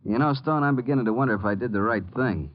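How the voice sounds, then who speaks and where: An actor playing a plain-spoken, slightly uneasy police desk sergeant in a radio drama, talking informally and matter-of-factly. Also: male voice, mid-range voice, quick pace, natural voice. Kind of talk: 1950s radio drama acting. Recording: muffled old radio recording.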